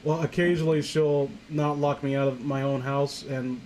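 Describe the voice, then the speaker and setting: A man's voice: on the high side, steady tone, moderate pace, crowd noise, low-quality recording. A man chatting casually and jokingly as a co-host, rambling and swearing as he goes. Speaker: co-host of a comedy story-reading show. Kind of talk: casual joking chat and mock reading. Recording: faint background noise, home recording.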